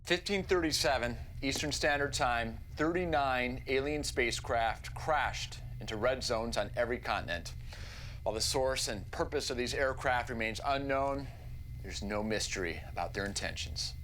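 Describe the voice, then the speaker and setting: A man's voice: mid-range voice, moderate pace, fairly bright tone, lightly acted. Man doing a serious news announcer, formal delivery, mid voice, game cutscene with noise behind. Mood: grave.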